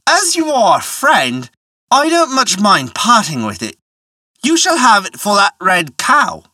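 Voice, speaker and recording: An affable, canny roadside trader from a storybook, played by a narrator. He sounds hearty and obliging, with a bright voice that rises and falls dramatically. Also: medium pitch, wildly swooping pitch, unhurried, dry recording, clean studio sound, pitched above the performer's own voice, exaggerated voice. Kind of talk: storybook character voice.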